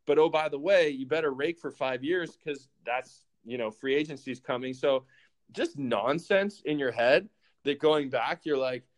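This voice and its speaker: A man in his thirties tells his story in a casual chat, rising and falling as he acts out what went through his head. His pitch sits in the ordinary middle range, and his delivery is lively and conversational. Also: moderate pace. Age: 30s. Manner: animated storytelling, acts out his own thoughts in quotes. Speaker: former pro baseball player.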